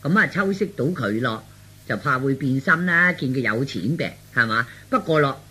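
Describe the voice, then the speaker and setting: A man's voice: mid-range voice, expressive, moderate pace, pushed and bright, husky, exaggerated voice. A man voicing a meddling middle-aged aunt in Cantonese radio storytelling, earnest, insistent and colloquial. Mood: earnest persuasion. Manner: insistent, colloquial, full of rhetorical tags.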